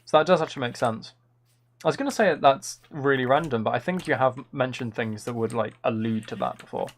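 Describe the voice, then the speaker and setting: A man's voice: medium pitch, fairly quick. A man chatting casually on a gaming livestream, rambling and often questioning himself as he goes. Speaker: livestream host. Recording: clear background noise, keyboard clicks.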